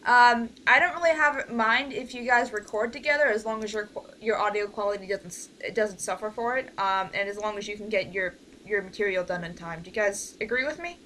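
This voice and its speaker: A woman's voice: mid-range voice, a little fast, hardly any pauses, pushed and bright. A woman leading an informal online group meeting, talking in a hesitant, rambling way that often trails off. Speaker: director leading a voice cast meeting. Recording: noisy amateur voice-call recording.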